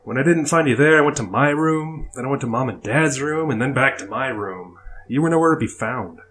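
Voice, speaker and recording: A man playing a caring older brother who reminisces in a halting, conversational way in an audio story. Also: medium pitch, lively intonation, fairly bright tone, slight background noise, natural voice. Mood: wistful recollection. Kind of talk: dramatic audio story dialogue.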